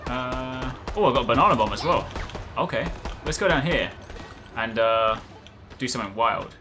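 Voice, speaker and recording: A man in his thirties giving excited, chatty, exclamatory live commentary while playing a video game. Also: medium pitch, normal speed, music in the background. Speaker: gaming video creator. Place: indoor room at a desk.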